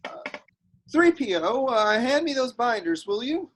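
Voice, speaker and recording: A man's voice: very high-pitched, sing-song, unhurried, a bit bright, a little hiss or hum, exaggerated voice. A man acting an eager, earnest young farm boy hero in a table read. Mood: hesitant. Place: virtual call from separate location.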